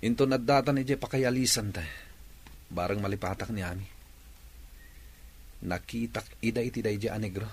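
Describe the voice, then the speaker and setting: A man's voice: lowish voice, normal speed, pauses often, a little mellow, husky. An actor playing an earnest, lovelorn young man in an Ilocano radio drama, with melodramatic delivery. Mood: heartbroken.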